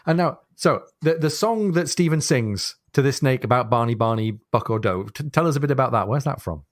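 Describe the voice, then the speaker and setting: A man co-hosting a podcast, explaining and introducing a guest in a measured way. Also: medium pitch, lively intonation. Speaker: podcast co-host. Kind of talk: casual podcast discussion.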